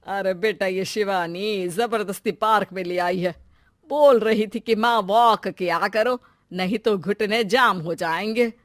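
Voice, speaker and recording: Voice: mid-range voice, measured pace, no echo, studio quality, lightly acted. An actor playing a fussy but caring older mother-in-law in a cartoon family drama. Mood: chatty, fussing.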